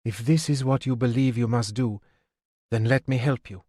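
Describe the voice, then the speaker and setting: A man reading an earnest, steady queen. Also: mid-range voice, normal speed, dry recording, clean studio sound, close to an everyday voice. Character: loyal, earnest queen, a grown woman.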